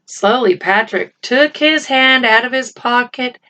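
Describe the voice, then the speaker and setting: A woman reading a children's novel aloud in a deliberate storytelling manner. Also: fairly high pitch, sharp tone. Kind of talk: read-aloud story narration with voiced dialogue. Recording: slightly dull, lo-fi home recording.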